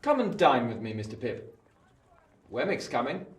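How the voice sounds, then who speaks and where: An actor playing a shrewd, domineering lawyer in a radio play, with an imperious, declamatory manner. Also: male voice, mid-range voice, very animated, normal speed, far from the mic, close to an everyday voice. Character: domineering, shrewd male lawyer, commanding host. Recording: echoey room, off mic, background noise.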